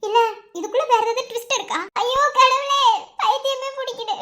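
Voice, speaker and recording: Actress as distraught young mother for a family serial: extremely high, childlike pitch, crying, plaintive, doubting. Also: steady tone, brisk, pushed and bright. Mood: troubled, puzzled. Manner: tearful, plaintive, questioning. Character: anguished young mother, full of doubt about her child. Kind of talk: melodramatic family serial acting.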